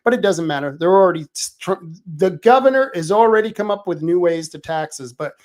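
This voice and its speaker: A middle-aged man with a somewhat high voice hosts a political livestream. He talks casually, with many restarts and fillers. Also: expressive, a little fast, a little mellow. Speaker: political talk show host.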